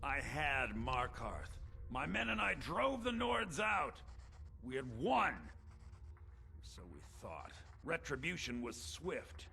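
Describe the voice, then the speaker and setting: An actor playing a grave, proud, embittered rebel leader plotting vengeance from prison in a fantasy video game. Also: male voice, fairly high pitch, very animated, slow, gravelly, bright, forceful tone, slightly rough, faint music behind, heavily acted. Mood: grave, bitter.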